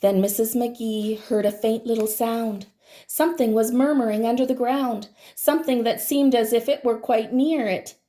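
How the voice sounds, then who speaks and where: A woman teacher reading a picture book aloud to children in a deliberate, expressive storytelling manner. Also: fairly high pitch.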